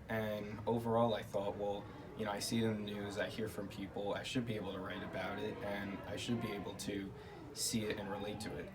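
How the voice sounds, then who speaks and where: Low, flat young man, distant and noisy. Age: young adult. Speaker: student interviewee. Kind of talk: personal story in interview. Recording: distant mic with clear background noise.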